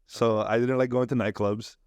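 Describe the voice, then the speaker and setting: A young American man from California, a podcast co-host, telling a story in casual chat, lively and laughing often. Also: medium pitch, normal speed, smooth, no echo, clean studio sound. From Oakland, California. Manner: animated, laughs often, acts out quoted people.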